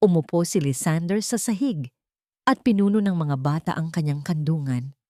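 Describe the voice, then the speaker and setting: Give a low female voice with a Filipino accent, recorded close and dry. She reads as an audiobook narrator, delivering scripted story narration in a dramatic style. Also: normal speed, a little mellow, no echo.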